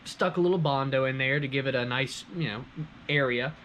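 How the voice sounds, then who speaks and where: A man's voice: mid-range voice, fairly quick, a bit bright, slight background noise, poor audio. A man hosting a hobby prop-making video, explaining his build in a casual, chatty, enthusiastic way.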